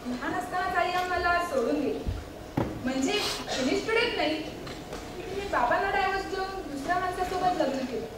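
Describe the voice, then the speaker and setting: A woman's voice: very high voice, expressive, normal speed. A woman acting an outspoken young woman who resists arranged marriage, declaiming emphatically in a stage play. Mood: subdued. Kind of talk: theatrical stage monologue. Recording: echoey stage hall from far, clear noise.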